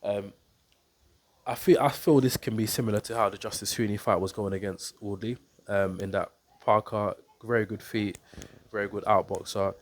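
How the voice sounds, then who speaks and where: A man with a very low voice and a stuffy, congested nose from a cold talks through a boxing fight to camera. His delivery is level and even, measured and unhurried, with frequent ums and repeated words as he thinks aloud. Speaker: boxing coach and YouTuber. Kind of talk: casual fight breakdown to camera.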